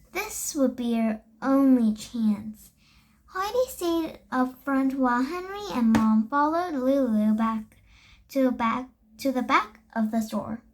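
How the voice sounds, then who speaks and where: A young girl reading a children's story aloud, haltingly, with stumbles and self-corrections. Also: high-pitched. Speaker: storybook reader.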